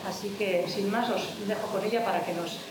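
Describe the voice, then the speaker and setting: A woman's voice: medium pitch, fairly even intonation, moderate pace, recorded from a distance. Woman introducing a speaker, echoey hall. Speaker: event organizer introducing the speaker. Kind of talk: spoken introduction. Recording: very echoey hall with background noise.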